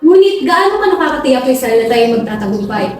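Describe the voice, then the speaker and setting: Woman as doubtful society member, high, echoey. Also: big pitch swings, fast talker. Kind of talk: recited amateur film dialogue. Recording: very echoey, distant, faint music.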